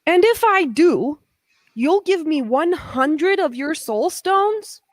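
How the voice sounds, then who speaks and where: A woman's voice: sits high, lively intonation, slow pace, dry recording. A woman playing a haughty female storm supervillain in an audio drama, theatrical and scornful. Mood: calculating. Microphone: video call.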